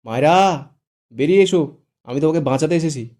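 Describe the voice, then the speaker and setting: A man's voice: mid-range voice, little pitch movement, fairly quick, dark tone, close to an everyday voice. A man voicing a cheeky, dramatic young man who mocks his friend in a raised, theatrical voice. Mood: teasing.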